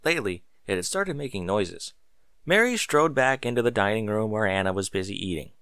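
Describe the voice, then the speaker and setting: A man reading scripted fiction as an audiobook narrator, with expressive storytelling. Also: on the low side, fairly quick, smooth, dry recording, studio quality.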